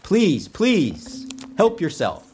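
Animated, effusive tour guide. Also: male voice, sits high, drawn-out words, background noise, heavily acted. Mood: effusive welcome. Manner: welcoming, grandly earnest, presentational. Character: effusive male tour guide of a plant utopia. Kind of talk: audio drama acting.

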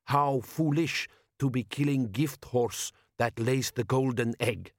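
A man doing a slow-spoken jovial desert chieftain. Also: medium pitch, dry recording, studio quality. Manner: slow, broken English, halting foreign accent put on.

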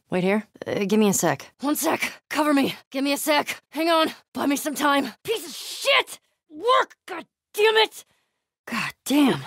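A woman's voice: high-pitched, no echo, exaggerated voice. Game role: young American female survivor, sardonic, blunt, foul-mouthed, naturalistic delivery, dry studio sound. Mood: casual, low, then frustrated. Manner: blunt, sweary. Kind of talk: naturalistic video game acting.

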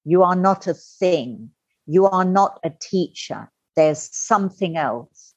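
A middle-aged woman telling her personal story as a podcast guest, candid and animated, acting out the voices she quotes. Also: fairly low pitch, takes it slowly, slightly rough, dry recording. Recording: dull lo-fi dry sound.

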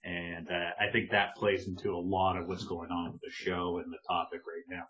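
A man's voice: low-pitched, flat delivery, brisk, fairly bright tone. A man co-hosting a podcast in casual chat, laid-back with dry teasing. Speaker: podcast co-host. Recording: dull lo-fi sound.

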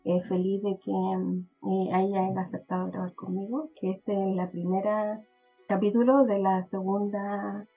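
A woman's voice: mid-range voice, level tone, unhurried, slightly rough, soft background music. Hesitant Chilean woman, muffled audio. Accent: Chilean Spanish. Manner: hesitant, mixes in English words, laughs often. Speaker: podcast host. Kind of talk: casual conversational interview.

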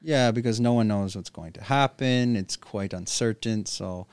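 A man hosting a podcast, asking questions in casual chat with halting restarts. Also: lowish voice, a little mellow, smooth, no room sound. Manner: hesitant questioner, many restarts. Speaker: podcast host.

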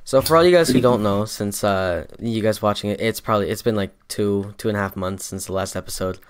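A man's voice: lowish voice, fairly quick, a little hiss or hum. A young man co-hosting a podcast in casual chat, rambling with lots of fillers and restarts, often laughing. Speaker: podcast co-host and rapper.

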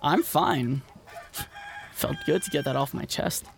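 A man's voice: fairly high pitch, measured pace, creaky, a little hiss or hum. An actor playing a hurt but stoic, plain-spoken young countryman in an audio drama, in an understated way. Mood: defiant.

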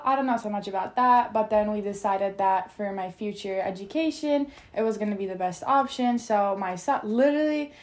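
A woman's voice: mid-range voice, a little mellow. A young woman from Turkey with a Turkish accent, co-hosting a podcast in chatty casual talk full of "like". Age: young adult. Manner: chatty, lots of filler "like". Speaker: podcast co-host. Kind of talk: casual chat.

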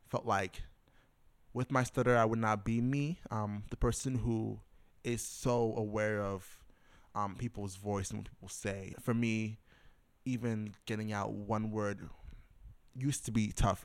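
A man's voice: fairly low pitch, fairly even intonation, a little slow, dry recording. A young man as a podcast guest, sharing a personal faith story in a casual way, with restarts, repeated words and many fillers. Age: young adult. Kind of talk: personal testimony in casual conversation.

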